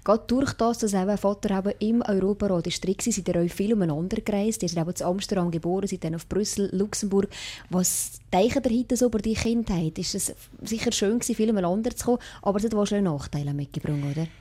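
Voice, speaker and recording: Woman, Swiss German accent, radio interviewer, rapid delivery, mellow tone, conversational. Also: mid-range voice.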